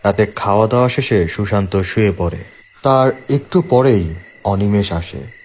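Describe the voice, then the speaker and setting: A man narrating a scripted horror story in a measured way, with dramatic pauses. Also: fairly low pitch, darkish tone, slight background noise.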